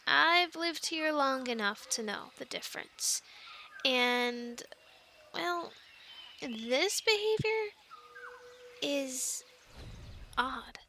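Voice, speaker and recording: A woman's voice: high-pitched, expressive, very slow, long pauses, pushed and bright, slight background noise, full character voice. A woman acting a chatty young island castaway who loves animals, in an intimate, rambling ASMR roleplay with hesitant, earnest explanations. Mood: earnest, uneasy.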